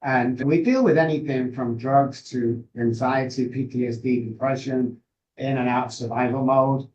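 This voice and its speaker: A man with a Manchester accent, a guest on an interview, talking in a brisk, emphatic way from a home office over a video call. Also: mid-range voice, fairly even intonation, a little mellow, highs cut off. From England. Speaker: guest, addiction specialist. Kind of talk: conversational interview. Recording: distant from the mic.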